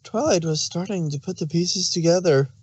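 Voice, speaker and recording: A man's voice: a little high, moderate pace, darkish tone, husky. A man narrating a fan fiction story, reading it aloud.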